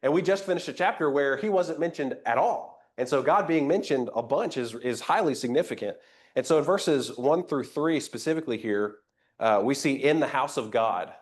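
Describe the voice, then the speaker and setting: A man's voice: medium pitch, lively intonation, moderate pace. Guy leading a bible class, bit nasally, chatty, keeps asking the room questions. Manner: conversational, invites comments, rhetorical questions. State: a little nasal from a cold. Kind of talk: interactive Bible class teaching.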